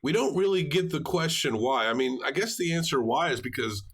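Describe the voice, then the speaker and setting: A man's voice: fairly high pitch, expressive, fairly quick, fairly bright tone, slightly rough. A man co-hosting a podcast, talking through a film in a casual, explanatory, conversational way. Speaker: podcast co-host.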